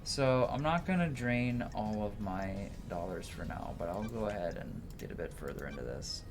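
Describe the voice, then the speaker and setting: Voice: sits low, lively intonation, moderate pace, over music. A streamer reads game dialogue aloud in a dramatic way, stumbling now and then and correcting mid-word.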